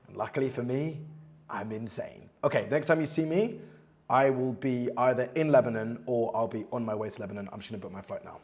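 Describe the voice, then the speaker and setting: A young man from the West Indies, chatting casually in a vlog, animated and self-mocking, swearing and saying "like" a lot. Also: medium pitch, fairly quick. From Guyana and the West Indies. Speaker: vlogger and outreach coach. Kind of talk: casual vlog talk to camera.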